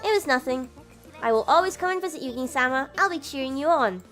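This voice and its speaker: Picture a prim young little sister who adores her older brother, formal and composed in every phrase, as a woman voices her. The voice sits high and light. She speaks in a neat, courteous manner. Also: animated, fast talker, fairly bright tone, soft background music. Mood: prim, doting. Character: proper, doting young catgirl-loving little sister. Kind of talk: reading a visual novel aloud.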